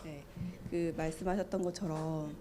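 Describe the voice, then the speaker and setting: A woman's voice: sits low, fairly even intonation, moderate pace, mellow, slight background noise. A woman with a cold, asking a follow-up question at a church Q&A in a hesitant, apologetic way. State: has a cold, voice off.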